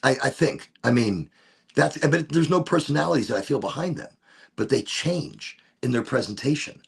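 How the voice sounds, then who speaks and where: A man with a deep, gravelly voice and a husky edge hosts a relaxed solo session. He rambles loosely from one tangent to the next. His pitch rises and falls in lively swings. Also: normal speed. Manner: rambling, wanders off topic, breaks into off-key singing. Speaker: host of a smoke session. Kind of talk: rambling casual monologue.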